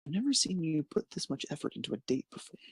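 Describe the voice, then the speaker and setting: A man's voice: a little high, sing-song, quick pace, slightly rough, dry recording, pitched above the performer's own voice, heavily acted. A man voicing a teasing, supportive young best friend in an amateur fan fiction reading. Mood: sly teasing. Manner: teasing, pointed.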